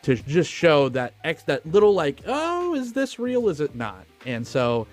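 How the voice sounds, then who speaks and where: A man's voice: a little high, huge pitch swings, a little slow, faint music behind. Chatty man co-hosting a film podcast. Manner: chatty, sweary, enthusiastic. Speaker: podcast co-host. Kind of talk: casual film discussion.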